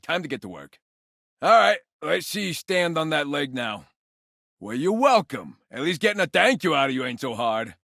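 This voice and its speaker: A man's voice: on the high side, bright, forceful tone, dry recording, studio quality, exaggerated voice. An actor voicing a cocky, grumbling blue-collar man in a video game, with an American accent and a brash, colloquial delivery. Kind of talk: video game character voice acting.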